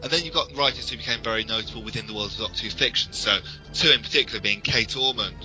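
Give a man with a slightly low voice and a very bright, forward tone. He talks as a podcast co-host in a casual discussion, laying things out in discursive detail. There is music in the recording. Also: fast talker, lo-fi sound. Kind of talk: casual fan discussion, explaining in depth.

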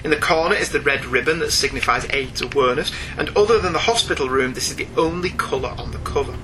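A man's voice: medium pitch, quick pace, background noise. A man hosting a podcast, reading out a scripted review in a narrator's style. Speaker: podcast host.

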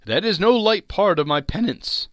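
A man voicing the anguished, mournful ghost of a dead businessman in a storybook reading, declaiming with drawn-out words. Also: sits high, expressive, dry recording, pitched above the performer's own voice. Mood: solemn warning. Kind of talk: theatrical storybook character voice.

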